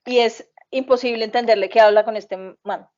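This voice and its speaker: A woman's voice: a little high, fairly quick. Chatty woman telling family stories. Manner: animated, rambling, laughs often, frequent fillers. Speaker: podcast guest, mother recounting family migration. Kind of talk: casual conversational storytelling. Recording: slightly muffled podcast audio.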